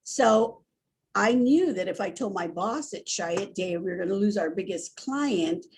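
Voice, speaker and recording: A woman in her sixties from Hawaii, speaking as a guest in an animated, candid conversation full of restarts and asides. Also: medium pitch, a little slow, gravelly, slight background noise. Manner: animated and candid, with frequent restarts and "you know". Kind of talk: conversational storytelling.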